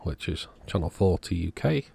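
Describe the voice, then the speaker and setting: Casual male repair-video host, very low register, husky with plenty of fry. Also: animated, moderate pace, dark tone. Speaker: host of an electronics repair channel. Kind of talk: casual repair walkthrough narration.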